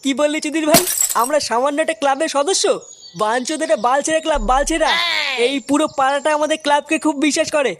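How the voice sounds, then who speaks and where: A performer voices a blustering young man who bosses his village club in a crude cartoon. The voice is high and fast, scolding and foul-mouthed, on a noisy track. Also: steady tone, lightly acted. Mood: angry, scolding. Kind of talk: crude comic cartoon dub.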